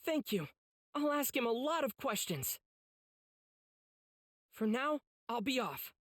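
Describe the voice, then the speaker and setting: A woman's voice: a little high, very animated, slow pace, no room sound, heavily acted. An earnest teenage boy hero from an anime game. He speaks politely and eagerly, with a kind heart. The recording is dry and studio-close. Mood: eager, curious.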